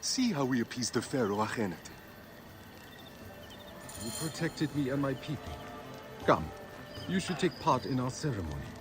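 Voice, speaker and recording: A man's voice: medium pitch, sing-song, moderate pace, pauses often, over music, close to an everyday voice. An actor playing a devout male sun-cult leader who chants hymns and speaks with solemn fervor in a video game. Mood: pleading. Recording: game audio with loud score.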